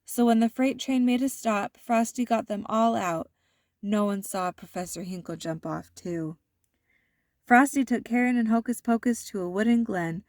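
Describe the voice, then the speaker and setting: A woman narrating a children's storybook aloud in an expressive, deliberate storytelling manner. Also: on the high side, no room sound, clean studio sound.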